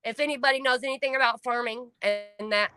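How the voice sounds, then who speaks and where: A middle-aged woman talking on a home stream, loud and blunt, swearing freely, her voice climbing when she gets upset. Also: a little high, fairly even intonation, brisk, smooth, no room sound, studio quality. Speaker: stream co-host. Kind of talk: emotional casual chat.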